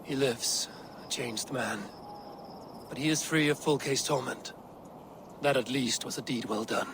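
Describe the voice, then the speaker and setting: An actor playing a hardened Viking leader in a video game, speaking in a measured, formal old-world way. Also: male voice, medium pitch, background noise, close to an everyday voice. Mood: grave, resolute. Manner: measured, archaic formal phrasing. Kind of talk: video game dramatic acting.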